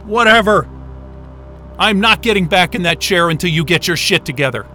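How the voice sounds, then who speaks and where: A man's voice: high voice, animated, normal speed, bright, forceful tone, faint music behind. An actor playing a bewildered, angry, foul-mouthed male astrophysicist who stammers his way through a science-fiction audio drama. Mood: confused, angry. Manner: gasping, stammering, profane.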